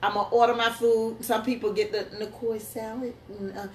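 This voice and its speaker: A woman's voice: a little high, little pitch movement, brisk. A woman co-hosting a casual chat show with her husband, playful and laughing often. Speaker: co-host. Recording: echoey room with faint noise.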